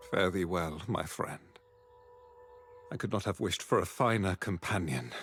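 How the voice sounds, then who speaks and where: A man's voice: deep voice, vocal fry, a bit bright, heavily acted. A man playing a noble, loyal knight in a fantasy game, speaking formally with earnest, chivalrous courtesy. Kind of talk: fantasy game cutscene acting.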